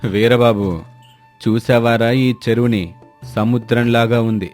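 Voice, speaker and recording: A man's voice: medium pitch, fairly even intonation, measured pace, a little mellow, soft background music, close to an everyday voice. A man voicing an arrogant, greedy contractor who threatens and orders people around in a moral story. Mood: scheming. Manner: commanding, insulting. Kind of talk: acted storybook dialogue.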